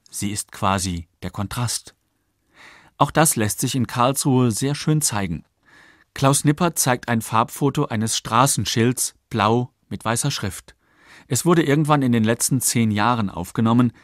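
Guy narrating a radio documentary, factual and measured, average pitch, dry close mic. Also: no echo. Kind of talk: scripted radio feature narration. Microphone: close studio microphone.

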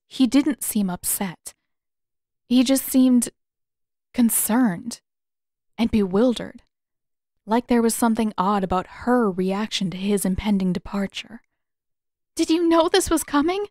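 Woman reading an audiobook story, kinda dramatic and expressive, super dry recording. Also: mid-range voice, measured pace, dry recording. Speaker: audiobook narrator of fan fiction. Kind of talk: scripted story narration.

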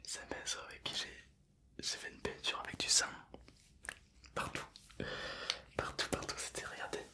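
A man's voice: normal speed, lightly acted. A man in his twenties voicing a chatty, playfully gruesome zombie who craves brains, in a whispered ASMR roleplay, rambling as he savors macabre details. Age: 20s.

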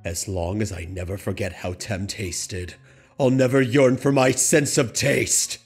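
A man voicing a large, brooding, delusional grizzly bear student in a dramatic manga dub, with an ominously tender, hushed manner. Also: on the low side, fairly even intonation, unhurried, vocal fry, breathy edge, soft background music, full character voice. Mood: brooding, wistful.

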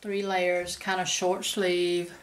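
A woman's voice: lowish voice, level tone, slow. A woman, chatting casually about her shopping haul to a camera at home. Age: 50s. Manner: chatty, folksy, says y'all. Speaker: haul vlogger. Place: home kitchen indoors.

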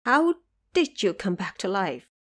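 A woman's voice: mid-range voice, sing-song, measured pace. A woman narrator voicing a devoted noble wife in an audio drama, earnest and tender as she recounts an ordeal.

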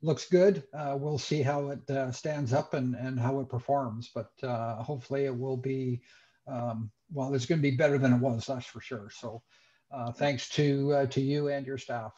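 A man with a Canadian accent, a councillor thanking staff over a video call, halting with many fillers. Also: medium pitch, fairly even intonation, dark tone. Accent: Canadian English. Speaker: city councillor. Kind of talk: council remarks. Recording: dull lo-fi video call audio.

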